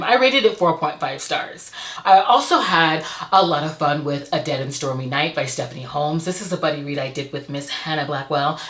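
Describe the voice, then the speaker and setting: A woman hosting a casual book review vlog, speaking to viewers in an enthusiastic, expressive and emphatic way. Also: sits low, echoey room. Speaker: book review vlogger and host. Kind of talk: casual monthly reading wrap-up to camera.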